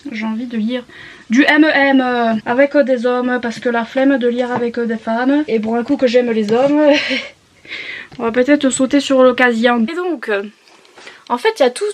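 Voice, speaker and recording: A woman chatting casually as a book vlogger, rambling off on tangents and poking fun at herself. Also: a little high. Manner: self-mocking, jokey, digressive. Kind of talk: casual rambling vlog chat.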